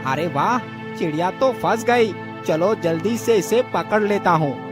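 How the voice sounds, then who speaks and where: Man as a high-pitched humble bird-catcher. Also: lively intonation, unhurried, music in the background. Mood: curious, eager. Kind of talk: children's cartoon story voice acting.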